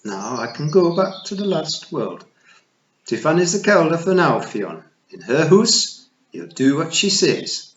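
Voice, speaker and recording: A man voicing a tiny, shrewd old female pixie chieftain with a broad Scottish burr, warm, teasing and commanding. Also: a little high, very animated, unhurried, pitched above the performer's own voice. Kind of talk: storybook reading. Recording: roomy, slightly dull recording.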